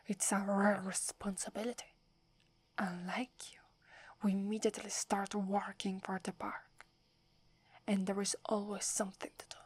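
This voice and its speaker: A haughty sixteen-year-old werewolf girl, whispered by a woman with an Italian accent, breathy and airy, right up at the mic. She drawls slowly, proud and wary, scolding in a hush like the forest is hers. The ASMR roleplay keeps every word soft and close. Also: mid-range voice, no echo. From Italy.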